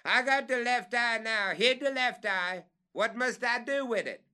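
A man voicing a high-calling servant up a tree. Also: very animated, moderate pace, a bit bright. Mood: calling, wary. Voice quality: high, strained, thick rural dialect. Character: old male servant calling down from a treetop.

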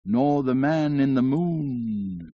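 Man putting on a slow drawling society gentleman. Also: lowish voice, dull audio, close to an everyday voice. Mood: smug. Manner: drawls out his syllables, affected. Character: drawling, evasive, self-important society gentleman.

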